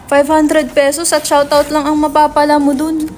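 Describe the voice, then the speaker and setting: A woman's voice: high-pitched, monotone, normal speed, a little mellow, a little hiss or hum, lightly acted. A woman playing a brash, foul-mouthed young male writer in a dramatic script reading, slangy and insistent. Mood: argumentative.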